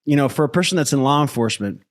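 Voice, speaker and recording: Guy from brazil raised in the us, podcast host, mid pitch, chatty and kinda halting. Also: animated. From born in Brazil, grew up in the United States from age eight. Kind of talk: casual conversational interviewing.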